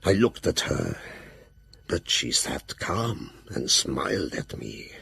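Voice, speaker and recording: An actor playing a grave, fearful old professor who hunts vampires, narrating his journal solemnly in an audio drama, with a gravelly, husky voice. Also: a bass voice, big pitch swings, slow, fairly bright tone, slight background noise, full character voice.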